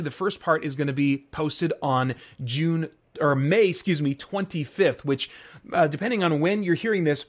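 A man hosting a solo podcast, talking casually and informally straight to his listeners. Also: a little high. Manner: informal, addresses listeners directly. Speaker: podcast host.

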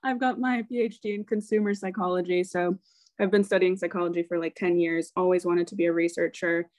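A woman's voice: lowish voice, a little fast, no echo. Candid American woman, sobriety coach. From United States. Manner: relaxed, candid, laughs easily. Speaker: podcast guest, sobriety coach. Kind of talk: interview conversation.

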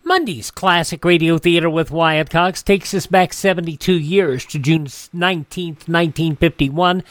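High-pitched male radio host. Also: steady tone, normal speed, fairly bright tone, no echo, clean studio sound. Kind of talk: scripted news reading and announcing.

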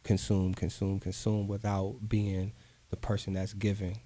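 A man's voice: fairly low pitch, flat delivery, a little slow, warm tone. A man, talking earnestly in a casual interview, often repeating himself for emphasis. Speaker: guest artist.

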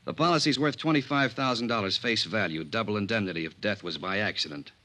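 An actor playing a brisk, sardonic, chummy American insurance adjuster in a 1950s radio drama, speaking emphatically. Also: male voice, lowish voice, sharp tone. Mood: businesslike.

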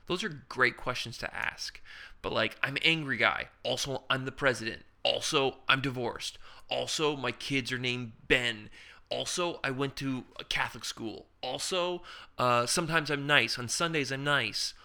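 A man with a very bright, crisp and smooth voice co-hosts a casual improv podcast. He walks through character-building ideas, tossing off quick hypothetical examples and rhetorical questions in an easy chatty flow. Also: mid-range voice. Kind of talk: casual instructional chat.

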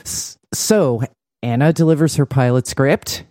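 Brief: female podcast host, dark relaxed timbre, dry close sound, sardonic recap delivery. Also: rumbling low, unhurried, dry recording. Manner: sardonic, blunt. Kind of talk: conversational TV episode recap.

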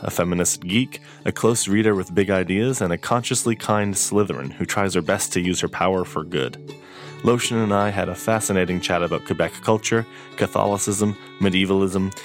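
A man hosting a music podcast, reading a scripted intro and then asking his guest questions in a friendly, measured way. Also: low voice. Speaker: podcast host.

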